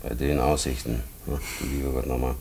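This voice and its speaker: An actor playing an easygoing, gruffly fatherly prison warder who talks colloquially and soothingly in a mid-century German radio play. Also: very deep voice, level tone, a little mellow. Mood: placating. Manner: colloquial, placating, drawn-out hums. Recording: noisy old radio play recording.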